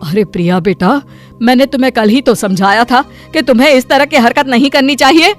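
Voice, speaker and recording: A woman voicing a kindly middle-aged auntie in a cartoon moral story, advising in a gentle, motherly way. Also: sits high, brisk, soft background music, natural voice. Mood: admonishing.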